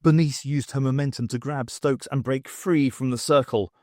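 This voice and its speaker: A man's voice: mid-range voice, steady tone, moderate pace, darkish tone, dry recording, clean studio sound. A man reading scripted story narration as the narrator of an audiobook.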